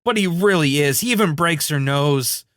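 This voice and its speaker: Wry man, slightly high voice. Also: lively intonation, normal speed, a bit bright, no room sound, studio quality. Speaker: podcast co-host. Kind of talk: casual chat, comic commentary.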